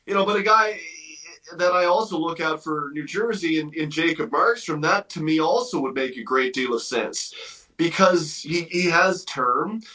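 A man's voice: a little high, moderate pace, pushed and bright, distant mic, poor audio. A man giving opinionated hockey analysis as a guest on a sports radio interview, conversational and emphatic, thinking aloud as he goes.

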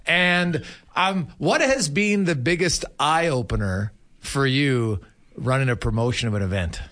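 A man's voice: medium pitch, lively intonation, measured pace, fairly bright tone. A man hosting a casual sports interview, asking rambling, conversational questions. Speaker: sports show host, interviewer.

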